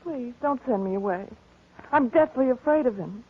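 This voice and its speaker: A woman's voice: a little high, animated, moderate pace, breathy edge. A woman playing a frightened young neighbor, imploring and polite in 1940s radio melodrama style, on a tinny old broadcast. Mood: anxious pleading.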